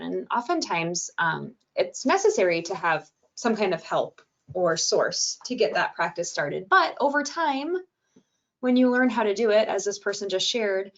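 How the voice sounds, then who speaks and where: A woman with a soothing, calm voice leads a wellness webinar, talking gently and easily with the odd "uh" and "you know." Her pitch sits in an ordinary middle range. The sound is a little dull, like a computer mic on a video call. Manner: calm, gentle, with frequent fillers. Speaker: webinar presenter and podcast host. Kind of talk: webinar presentation.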